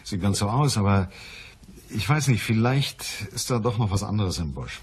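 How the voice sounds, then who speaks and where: Male lead, crime audio drama: wry private eye, low and husky, dry and in command. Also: animated, normal speed. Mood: businesslike. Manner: matter-of-fact, wry. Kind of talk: German crime radio play, dialogue and narration.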